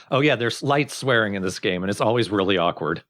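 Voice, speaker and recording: A man's voice: on the low side, expressive, moderate pace, fairly bright tone. A man giving casual, explanatory let's play commentary on a video game. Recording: game music behind commentary.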